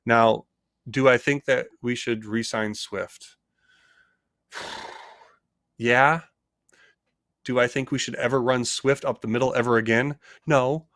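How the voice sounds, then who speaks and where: A man's voice: medium pitch, steady tone, takes it slowly, long pauses, a bit bright, no room sound, clean studio sound. A man co-hosting a casual sports talk show, rambling with many restarts and hedges. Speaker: co-host.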